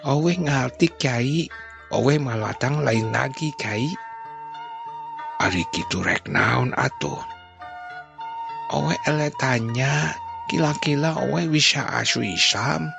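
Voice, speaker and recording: A chatty older male shopkeeper, as a storyteller puts him on, slow and sing-song, clipping and mangling his words. The voice sits well above the reader's own low, gravelly tone. Music plays clearly underneath. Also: huge pitch swings, full character voice. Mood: cheerful. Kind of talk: storytelling, acted dialogue.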